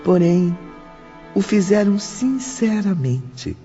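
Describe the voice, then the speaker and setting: A man reading scripted audiobook narration in a formal, expressive manner. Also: high-pitched, slow pace. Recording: dull lo-fi audio under music.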